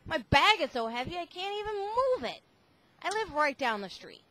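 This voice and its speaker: A woman voicing a proud, mischievous little boy in a read-aloud storybook, in a high bright voice. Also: sing-song, moderate pace, full character voice. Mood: pleading.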